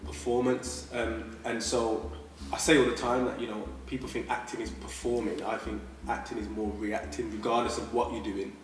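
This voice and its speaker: A man's voice: on the low side, little pitch movement, moderate pace, hardly any pauses. Man, actor-director, emphatic, explanatory, distant mic, echoey room. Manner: emphatic, explanatory, repeats for stress. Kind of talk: casual panel chat. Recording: roomy echo, off-mic, faint background hum.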